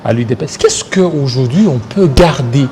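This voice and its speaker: A man's voice: mid-range voice, animated, moderate pace, dark tone, a little hiss or hum. A man with a Congolese French accent hosting a political interview, putting probing, challenging questions. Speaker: interviewer, host.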